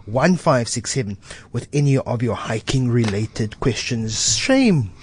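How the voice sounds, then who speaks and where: A man's voice: mid-range voice, animated, measured pace, slight background noise. Hesitant radio host man. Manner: hesitant, many restarts. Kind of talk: radio talk show. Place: radio studio.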